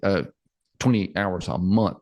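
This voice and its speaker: A man's voice: sits low, measured pace, darkish tone, dry recording. A man in his forties, a financial planner chatting casually on a podcast, repeating words and laughing easily. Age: 40s.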